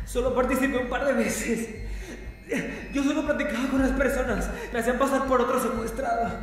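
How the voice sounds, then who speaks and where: An actor playing a terrified male captive in an audio drama, sobbing and pleading as he haltingly confesses. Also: extremely high pitch, steady tone. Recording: cavernous reverb, heard from another room.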